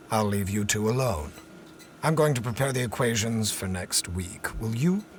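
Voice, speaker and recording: An actor playing an obsessive, imperious scientist in an audio drama, with a formal, clipped, demanding way of talking. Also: male voice, mid-range voice, slight background noise, lightly acted. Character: obsessive, imperious male research scientist, fussy and impatient.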